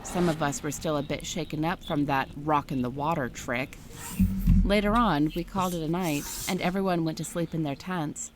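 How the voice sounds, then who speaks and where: A woman reading a first-person story aloud in a steady, scripted narration style. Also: lowish voice.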